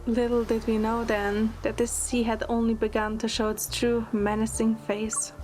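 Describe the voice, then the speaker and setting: A woman's voice: on the high side, level tone, moderate pace, close to an everyday voice, rough recording. A woman playing a devoted young heroine in love, narrating an audio drama in the first person with earnest, dramatic delivery. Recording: loud music bed under the voice. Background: loud music.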